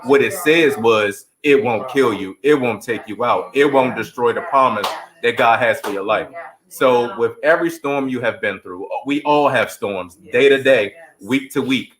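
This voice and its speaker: A man's voice: mid-range voice, normal speed, crowd noise, low-quality recording. Male interview guest, stage actor; earnest, preacherly storyteller with a heartfelt delivery. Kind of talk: heartfelt interview answer with scripture quotes.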